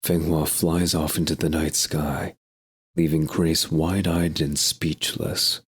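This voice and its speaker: A man narrates a scripted audio drama serial. His voice sits very low in pitch and has a husky grain to it. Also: flat delivery, takes it slowly.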